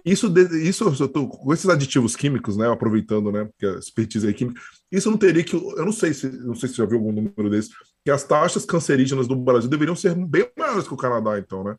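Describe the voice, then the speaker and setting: Give me a fast-talking Brazilian podcast host with a husky voice. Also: male voice, mid-range voice, sing-song, darkish tone. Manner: curious, asks follow-up questions. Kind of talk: casual interview chat.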